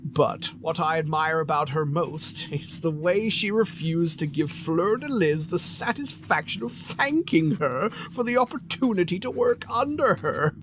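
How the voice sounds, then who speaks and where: Man playing a slow-spoken, imperious theater master. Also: on the high side, lively intonation, background noise. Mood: admiring. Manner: measured, imperious, dry. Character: aloof, commanding pony master of a theater. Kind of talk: audio drama acting. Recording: music bed behind the voice.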